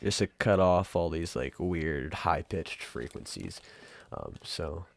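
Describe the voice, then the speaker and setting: A man giving a casual, off-the-cuff software tutorial in an offhand, rambling and informal way. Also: low voice, sing-song, takes it slowly, gravelly, a little hiss or hum. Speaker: tutorial presenter.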